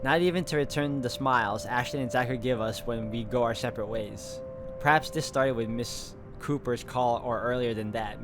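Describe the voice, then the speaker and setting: Male game player as narrator, reading story text in a fairly high voice, clear plucked guitar music behind. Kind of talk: reading visual novel narration aloud.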